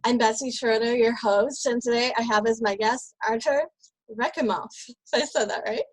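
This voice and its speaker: Female interview host, slightly high voice, dry close recording, welcoming introductions. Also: steady tone, normal speed, no room sound, low-quality recording. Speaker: summit host. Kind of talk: interview hosting.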